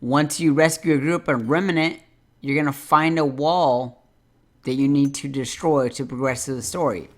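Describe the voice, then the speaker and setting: Male game guide host, medium pitch, instructional and directive walkthrough delivery. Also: fairly even intonation, normal speed. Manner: step-by-step, directive.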